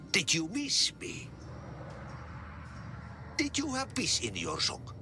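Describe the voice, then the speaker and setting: Old finnish janitor game character, weird folksy drawl, super slow, swoopy pitch, music behind. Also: male voice, full character voice. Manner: drawling, odd folksy sayings, mixes in Finnish. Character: eccentric older Finnish janitor, folksy and cryptic. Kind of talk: theatrical video game character acting.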